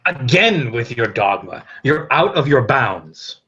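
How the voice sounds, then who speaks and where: A man's voice: mid-range voice, very animated, slow, exaggerated voice. A man playing a scornful, threatening medieval trial interrogator in a dramatic script read. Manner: scornful, forceful. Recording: dull, lo-fi video call audio.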